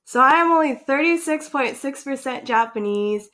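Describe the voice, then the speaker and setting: A young woman vlogging casually, reacting in an animated, excitable way and filling her talk with likes and ums. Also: sits high, normal speed. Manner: animated, excitable, filler-heavy with frequent likes.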